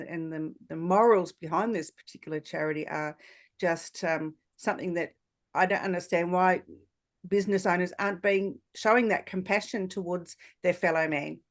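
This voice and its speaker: A woman hosting a podcast, talking casually in a reflective, hesitant way with halting phrases. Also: lowish voice. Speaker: podcast host. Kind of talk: casual interview conversation.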